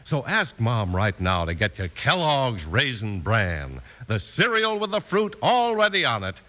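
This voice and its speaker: A man's voice: mid-range voice, wildly swooping pitch, measured pace, pushed and bright. A man with an American accent announcing in emphatic, polished 1950s radio style. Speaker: announcer and narrator. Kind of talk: 1950s radio announcing, scripted narration and sponsor pitch.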